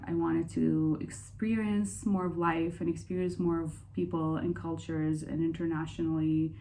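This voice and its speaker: A young woman from Saudi Arabia talking casually and candidly in a personal Q&A vlog, chatty and quick to laugh. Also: fairly low pitch, warm tone. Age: young adult. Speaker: vlogger.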